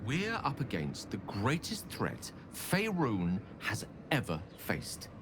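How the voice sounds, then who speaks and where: An actor playing a learned, wordy wizard in a video game, eloquent and musing aloud. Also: male voice, mid-range voice, huge pitch swings, takes it slowly. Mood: resolute. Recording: game audio with clear background noise.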